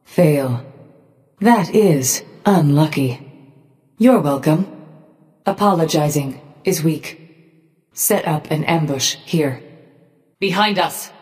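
A woman voicing a cold, menacing robotic gorgon in a video game, giving short commands in a measured, detached, machine-like way. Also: low voice, lively intonation, a little mellow, in a large hall. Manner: slow, measured, emotionally detached machine-like delivery. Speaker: game character voice pack.

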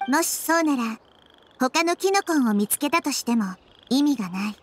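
High-voiced gentle, wise little girl deity. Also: animated, moderate pace, slight background noise. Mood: gentle, thoughtful. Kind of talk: Japanese anime game voice acting. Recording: background music under the voice.

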